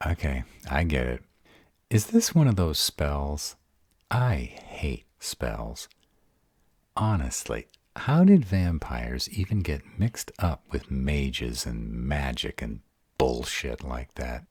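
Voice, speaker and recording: A man's voice: extremely low pitch, sing-song, slow pace, darkish tone, breathy edge, no echo, studio quality, full character voice. A man acting a sarcastic, baffled vampire in an audio roleplay drama, wary and exasperated, with a casual, sweary manner. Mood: annoyed.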